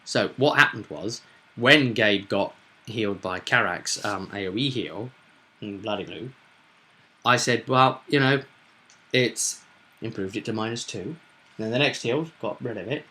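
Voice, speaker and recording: Guy running a tabletop game, bright voice, chatting casually and explaining stuff, keeps asking for agreement. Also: lowish voice, measured pace. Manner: explanatory, often seeking agreement with tag questions. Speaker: game master. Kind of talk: casual chat recapping a tabletop roleplaying game.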